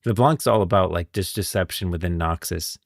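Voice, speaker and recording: A man's voice: sits low, fairly quick, gravelly, no echo, studio quality. A man hosting a livestream, talking casually and chattily, thinking aloud as he comments. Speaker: livestream host.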